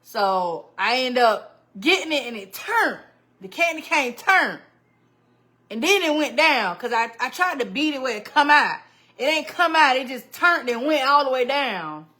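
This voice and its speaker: Woman livestream host, slightly high and sharp-toned, loose chatty delivery. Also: unhurried. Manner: chatty and animated, greets viewers by name and laughs often. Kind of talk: casual livestream chat with viewers.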